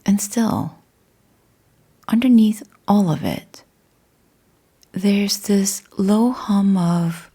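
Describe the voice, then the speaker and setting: Very slow, hushed woman guiding meditation. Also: medium pitch, breathy edge. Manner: measured, hushed, deliberate with long pauses. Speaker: guided meditation host. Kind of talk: slow guided meditation narration.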